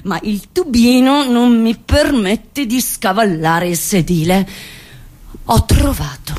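A woman performing a theatrical first-person comic monologue, telling her story in an expressive, dramatic way. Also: fairly high pitch. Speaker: stage actress performing a monologue.

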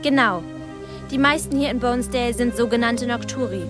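A woman plays a timid yet knowing girl of twelve in a radio drama. Her voice is high and youthful, earnest and confiding. There is music clearly behind her. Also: brisk, lightly acted. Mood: earnest, secretive. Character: timid but knowing twelve-year-old island girl. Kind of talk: radio play acting.

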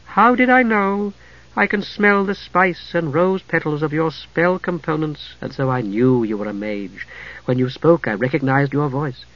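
A man voicing a serene, noble blind high priestess in an audiobook, speaking softly and hushed. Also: measured pace, lo-fi sound.

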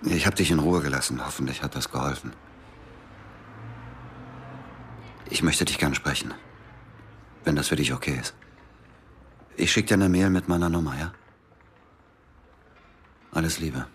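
A weary, suspicious ex-agent with a very low male voice. He speaks with brooding restraint, as the narrator and lead of a radio drama. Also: moderate pace, pauses often, fairly bright tone, slight background noise. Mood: tender, resigned. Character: exhausted, suspicious former agent telling his story.

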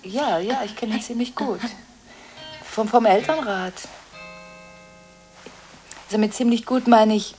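An actress playing a volatile, contradictory school headmistress in a radio drama, talking restlessly on the phone, breaking off and repeating herself. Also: female voice, on the high side, a little slow, long pauses, a little mellow, soft background music, natural voice. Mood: defensive, unsettled.

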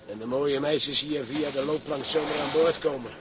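Man playing a sardonic higher-voiced sailor. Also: fairly even intonation, normal speed, lightly acted. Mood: mocking. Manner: mocking, blunt. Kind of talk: Dutch maritime radio drama acting. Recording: noisy ship ambience behind voice.

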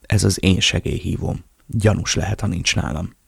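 A man voicing a calm male agent who takes charge with brisk orders. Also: deep voice, vocal fry, slightly rough, no room sound, studio quality, heavily acted. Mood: commanding.

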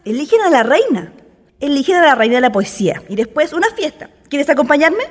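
In a reverberant hall, a woman acts out an excitable young Chilean woman with a very high, swooping voice. She talks in colloquial, exclaiming bursts, as an eager radio play friend. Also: a little fast. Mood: eager, chatty. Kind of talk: amateur radio play acting.

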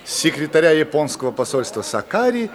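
Male narrator, radio play, emphatic, lively pitch, crowd behind. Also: a little fast.

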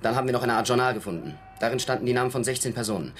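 An actor playing a frank young American army lieutenant in a radio drama, deferential and matter-of-fact. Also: male voice, mid-range voice, racing, fairly bright tone, a little hiss or hum, natural voice. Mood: dutiful reporting. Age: young adult.

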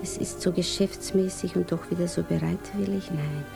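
A woman's voice: lowish voice, fairly even intonation, normal speed, vocal fry. An actress in a German radio drama playing a proper, nervous widowed mother who can be tender or indignant. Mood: hesitant, composing. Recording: studio radio play with music behind.

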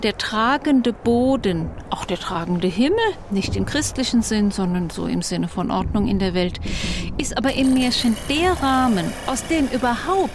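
A woman giving a reflective spoken analysis, choosing her phrasing deliberately. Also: on the high side, background noise. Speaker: commentator interpreting fairy tales.

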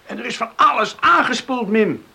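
Eager coastal lad: very lively intonation, high pitch, kindly, radio drama. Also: male voice, moderate pace, vocal fry, slightly rough, full character voice. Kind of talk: Dutch radio play acting.

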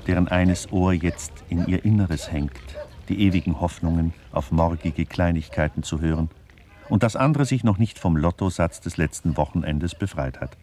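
An actor playing a wry, digressive narrator in a literary radio play, musing deliberately and addressing the listeners. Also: male voice, low-pitched, dark tone, lightly acted. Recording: clearly noisy background.

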